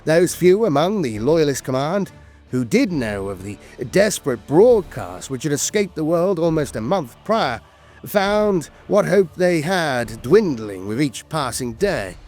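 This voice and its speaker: A man's voice: fairly high pitch, sing-song, a little slow, a little mellow, soft background music. A man narrating scripted lore as a storyteller, with a grave, dramatic delivery.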